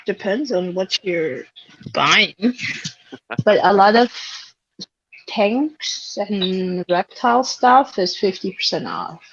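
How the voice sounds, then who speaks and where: A young woman from Switzerland chats as a guest, telling stories and laughing easily. Her voice sits at a middle pitch, close and dry, on a dull, lo-fi recording. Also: animated, slow, vocal fry, no echo. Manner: chatty, laughs often, tells stories. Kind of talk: casual podcast chat. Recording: dull lo-fi sound.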